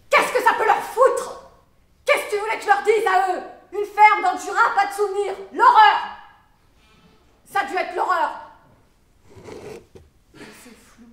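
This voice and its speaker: A woman acting a theatrical monologue as a troubled young mother, wounded and defiant, swinging between muttered confiding and shouted outrage. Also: a little high, fairly even intonation, unhurried, pauses often, far from the mic, close to an everyday voice. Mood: outraged, anguished. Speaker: drama student performing a monologue. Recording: roomy echo with background noise.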